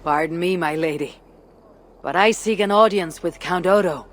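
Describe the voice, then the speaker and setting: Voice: mid-range voice, normal speed, natural voice. An actor playing a composed, blunt Viking warrior in a video game, formal and direct in speech. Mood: polite, guarded. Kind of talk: dramatic video game acting. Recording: reverberant space, faint ambience.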